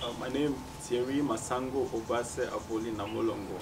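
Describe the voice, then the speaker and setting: A man with a Cameroonian accent giving reflective, measured interview answers as a musician, often repeating phrases for emphasis. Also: medium pitch, steady tone. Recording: distant mic, clearly noisy background ambience.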